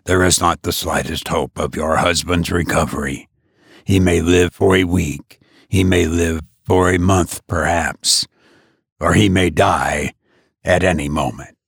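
A man reading an audiobook, voicing a grave elderly physician who speaks in a solemn, deliberate way. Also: low voice, steady tone, gravelly, husky, no echo, clean studio sound, voice pushed down, full character voice.

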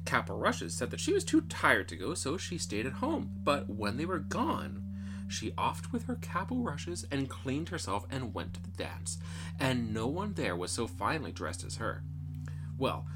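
Animated man telling a fairy tale, noisy recording. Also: on the high side. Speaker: storyteller. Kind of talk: expressive fairy tale reading.

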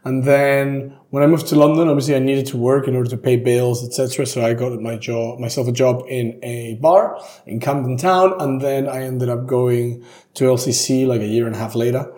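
A man's voice: medium pitch, steady tone, brisk, a little mellow. Peruvian man in an echoey room. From Peru. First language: Spanish. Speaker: guest, drinks brand ambassador. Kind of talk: casual interview conversation.

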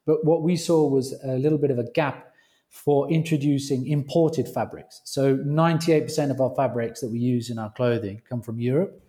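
A British man, a company founder, speaking as a guest on a remote interview call, with halting speech and frequent restarts. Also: medium pitch, fairly even intonation, warm tone. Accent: British English. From Britain. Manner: halting, restarts phrases often.

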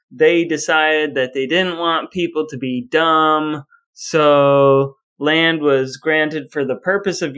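A man's voice: on the high side, fairly bright tone, clean tone. A man co-hosting a podcast in a casual but wordy, wry style. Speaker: podcast co-host. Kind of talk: casual chat, scripted podcast outro.

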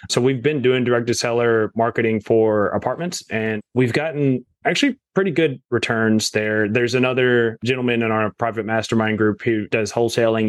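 A man's voice: mid-range voice, no room sound. A man talking casually as a guest on a real estate podcast, leaning on fillers like "you know" and "right?".

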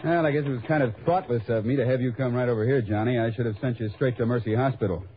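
A man's voice: mid-range voice, fast talker, a little hiss or hum, natural voice. An actor playing an earnest, easily exasperated insurance office man in 1950s radio drama dialogue. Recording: dull vintage radio recording.

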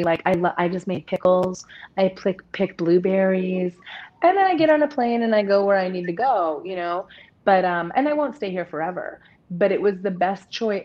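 A woman in her thirties with a deep voice, speaking openly and at length as an interview guest, with many restarts. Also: darkish tone. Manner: open, rambling, restarts often. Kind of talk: candid personal conversation. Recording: dull lo-fi audio.

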